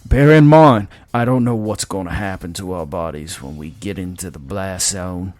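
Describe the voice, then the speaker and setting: A man's voice: deep voice, moderate pace, darkish tone, no room sound, lightly acted. A cocky male mech pilot, played by a man narrator in a sci-fi audio story. He is blunt and easygoing, speaking plainly to his crew. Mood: resolute. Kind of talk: audio story character dialogue.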